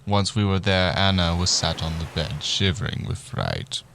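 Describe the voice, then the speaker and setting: A man's voice: low voice, very animated, moderate pace, vocal fry, a bit bright, slight background noise, heavily acted. A man playing a bumbling, oblivious detective who dramatically narrates a murder mystery in a radio play. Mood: matter-of-fact narration.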